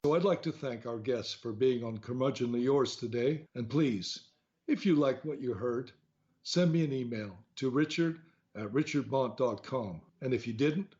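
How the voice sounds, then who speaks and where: A man's voice: mid-range voice, normal speed, darkish tone, breathy edge. A man hosting a radio interview show in a conversational style. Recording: dull lo-fi audio.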